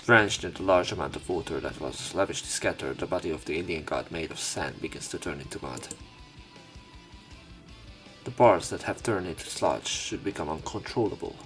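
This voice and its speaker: A man reading visual novel narration aloud as a let's player, pausing to comment on the text. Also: sits low, lively intonation, a bit bright, faint music behind.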